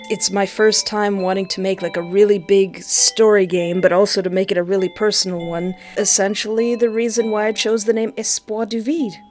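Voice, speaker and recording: A woman giving excitable, casual commentary as she plays a visual novel, stammering when excited, with game music behind her. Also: mid-range voice, normal speed, hardly any pauses.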